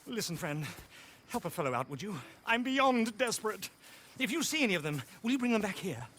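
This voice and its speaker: A man's voice: high-pitched, very animated, a little fast, fairly bright tone, a little hiss or hum. A man voicing a flamboyant British circus showman in a video game, with grandiloquent, theatrical, plummy delivery. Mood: pleading salesmanship. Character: flamboyant male circus showman calling himself Margaret, theatrical con artist. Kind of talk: video game cutscene acting.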